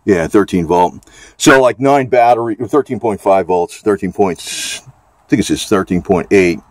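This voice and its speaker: A man's voice: fairly low pitch, normal speed, no echo. A man giving a casual, off-the-cuff product demonstration, talking in a rambling, hesitant, folksy way. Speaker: gadget reviewer.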